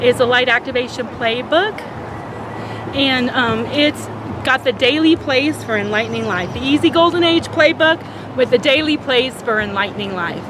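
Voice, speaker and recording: A woman with a slightly high voice speaks in a measured, earnest way as a spiritual teacher. Outdoor noise is clearly heard behind her. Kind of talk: prepared self-introduction.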